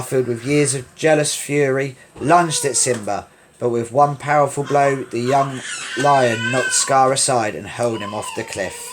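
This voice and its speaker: A man reading a children's story aloud in an expressive read-along style, with emphatic delivery. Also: mid-range voice, slight background noise.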